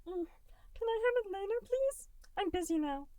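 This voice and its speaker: A woman doing a squeaky little goo boy. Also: lively intonation, moderate pace, a little mellow, dry recording, distant mic. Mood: innocent. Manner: high, squeaky and whimpering. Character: small innocent boy made of goo, timid and sweet. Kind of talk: audiobook fan fiction reading.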